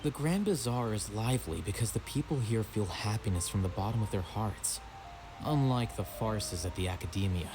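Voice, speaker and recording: A man voicing a calm, aloof young scholar in a video game, speaking formally with dry, measured deadpan. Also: fairly low pitch, over music, lightly acted. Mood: aloof, composed.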